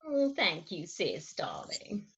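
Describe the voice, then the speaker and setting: A woman playing a brisk, arch, commanding middle-aged wife and mother in a Victorian stage farce. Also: lowish voice, huge pitch swings, vocal fry, fairly bright tone, full character voice. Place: home, recorded remotely.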